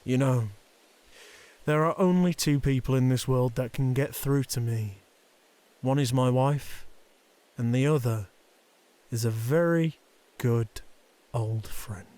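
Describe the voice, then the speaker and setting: A man's voice: mid-range voice, slow, long pauses, warm tone, lightly acted. A man playing a stern, proud Yakuza boss and conflicted father in an audio roleplay drama, speaking formally and with command. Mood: resigned, softening.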